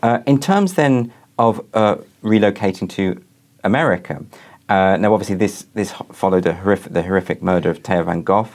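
Low-key male podcast interviewer. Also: fairly quick, darkish tone.

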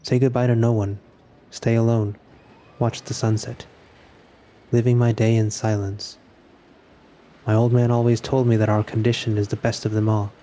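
A man acting a resigned, solitary narrator in scripted audio fiction, speaking in a measured, introspective way about his own final day. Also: lowish voice, flat delivery, dark tone, slight background noise, lightly acted. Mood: quiet resignation.

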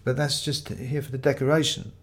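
A man's voice: medium pitch, level tone, fairly quick, a bit bright, lightly acted. A man voicing a timid, polite tree seller in a story reading, stammering and apologetic. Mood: flustered.

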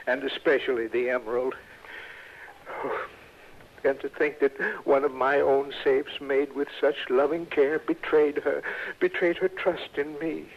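Self-important older gentleman, male, husky mid-range voice, flustered, halting, dull old radio sound. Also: mid-range voice. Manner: halting, flustered, repeats himself. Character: fussy, sentimental, self-important older male safe maker. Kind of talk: 1960s radio drama acting.

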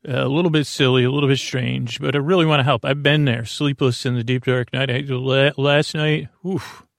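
A man hosting a sleep podcast, with a creaky, gravelly voice, rambling in a meandering, halting monologue that often stops and restarts. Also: mid-range voice, steady tone, dry recording, clean studio sound. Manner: meandering, halting, self-deprecating.

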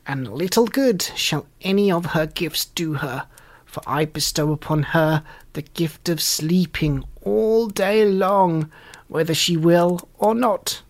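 A woman's voice: fairly low pitch, slow pace, darkish tone. A woman voicing a wicked, toothless old fairy in a storybook reading, spiteful and cackling, biting off each word. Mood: spiteful glee. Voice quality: harsh, creaky, mumbling.

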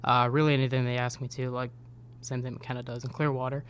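Hesitant young man, flat even voice. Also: mid-range voice, fast, clean tone. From upstate New York. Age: 20s. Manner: hesitant, filler-heavy speech. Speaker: newspaper intern. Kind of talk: casual podcast conversation.

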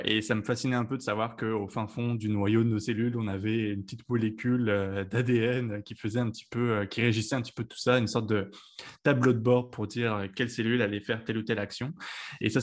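Young french guy from lyon, lowish voice, lots of ums and restarts while explaining stuff. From France. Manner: hesitant, many fillers and restarts. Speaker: guest, PhD student. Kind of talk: casual interview answers.

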